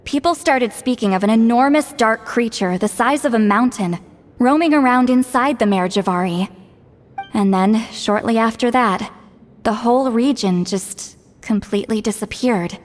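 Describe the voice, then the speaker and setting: An actress playing a wise, wry elderly woman who guides spirits, speaking in an unhurried storytelling way for a video game. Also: on the high side, natural voice. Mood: solemn storytelling.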